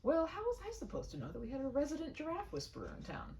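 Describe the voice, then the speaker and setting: A woman's voice: sits high, wildly swooping pitch, quick pace, darkish tone, far from the mic, slight background noise, pitched above the performer's own voice. A woman voicing folksy, amused male animal control officers with a genial, teasing, easygoing way of talking.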